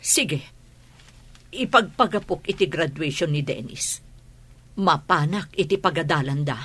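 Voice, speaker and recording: A woman's voice: low-pitched, animated, measured pace, a bit bright, husky, exaggerated voice. A woman playing a remorseful middle-aged mother in an emotional radio drama, with a Filipino accent. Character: remorseful middle-aged mother begging her family's forgiveness. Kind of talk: Ilocano radio drama acting. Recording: music bed behind voice.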